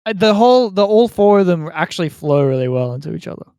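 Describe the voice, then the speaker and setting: A man's voice: sits high, animated, quick pace, creaky, darkish tone. A man co-hosting a podcast, chatting casually about films and shows. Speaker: podcast co-host.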